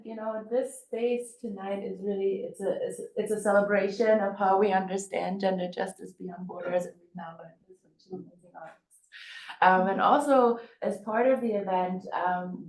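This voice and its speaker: A woman hosts an event in a halting, informal manner, repeating words as she addresses the audience. Her voice sits at an average pitch. The room is clearly echoey, with faint noise behind her. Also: darkish tone. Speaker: event host. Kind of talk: informal hosting remarks to an audience. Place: indoor event space.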